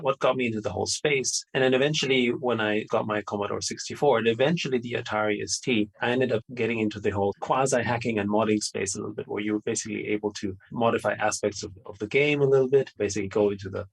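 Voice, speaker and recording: A middle-aged man from Austria answers a podcast host's questions in a loose, rambling way, full of fillers and restarts. His voice has a gravelly, slightly husky texture. He talks at a brisk clip. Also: fairly low pitch, poor audio. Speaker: podcast guest. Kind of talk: conversational interview answer.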